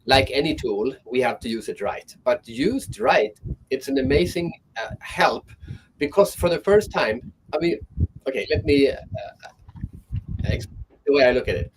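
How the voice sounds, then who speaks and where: A man talks through his ideas on an online panel, pausing and restarting his sentences as he thinks. His voice sits at a middle pitch, and there is a faint hiss of noise behind him. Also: expressive, low-quality recording. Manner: thoughtful, restarts mid-sentence. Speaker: guest speaker.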